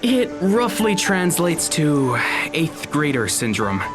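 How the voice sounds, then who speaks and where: An actor playing an awkward, polite teenage high school boy in an audio drama, speaking hesitantly with small stammers. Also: big pitch swings, slow pace, loud music behind the voice. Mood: hesitant, friendly. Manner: hesitant, stammering, nervous chuckles.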